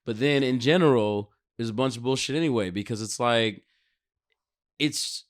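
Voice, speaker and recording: Casting: American male podcast co-host, smooth clean voice, mid pitch, slangy, profane, emphatic casual talk. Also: normal speed. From United States.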